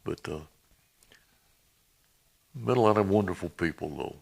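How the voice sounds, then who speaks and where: A man in his eighties, a veteran guest reminiscing in plain-spoken, halting, folksy talk. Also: low-pitched, animated, creaky, slightly rough. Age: 80s. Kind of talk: reminiscing in a conversation.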